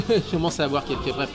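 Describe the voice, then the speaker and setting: Chatty man in his thirties, music behind. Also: a little high. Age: 30s. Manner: chatty, hesitant with many fillers and stammered repeats. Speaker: guest, radio show co-host. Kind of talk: casual interview chat. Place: bar.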